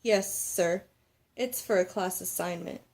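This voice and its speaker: A woman's voice: on the low side, unhurried, gravelly, darkish tone. Woman as a curious, earnest little schoolgirl. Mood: hesitant. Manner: questioning, earnest.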